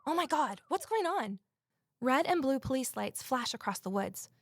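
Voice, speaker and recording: A woman's voice: sits high, very animated, normal speed, dry recording, studio quality, exaggerated voice. An actress playing a guarded, lonely amateur sleuth who narrates her own mystery in an introspective audio drama style. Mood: uneasy.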